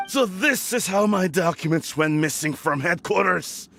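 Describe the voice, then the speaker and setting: An actor playing a proud, scheming older clan lord in a video game drama, speaking in a formal, haughty, theatrical manner. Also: male voice, high voice, animated, normal speed, creaky, fairly bright tone, faint music behind, heavily acted. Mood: smug.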